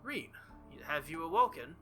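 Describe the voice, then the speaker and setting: A man's voice: fairly high pitch, big pitch swings, measured pace, sharp tone, faint music behind, full character voice. A man voicing a polite, serious, reserved young swordswoman from a visual novel, with formal wording.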